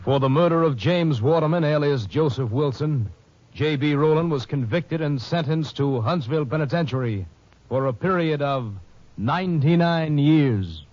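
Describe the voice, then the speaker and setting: A man's voice: fairly high pitch, animated, moderate pace, a little mellow, dry recording, faint music behind. Solemn male radio narrator. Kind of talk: formal radio epilogue narration. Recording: dull, dry old radio recording.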